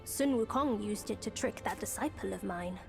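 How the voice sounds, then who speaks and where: A woman playing an enigmatic, teasing mentor in a game, speaking in a measured, cryptic way. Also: medium pitch, gravelly, music in the background, close to an everyday voice. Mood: cryptic, knowing. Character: enigmatic, teasing female mentor with mystical knowledge. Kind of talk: dramatic game voice acting.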